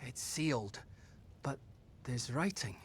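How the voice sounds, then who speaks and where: A man's voice: mid-range voice, lively intonation, takes it slowly, a bit bright, a little hiss or hum. A man playing an earnest young nobleman in a video game, speaking carefully as an eager companion on a quest. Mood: curious, uneasy. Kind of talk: dramatic video game dialogue.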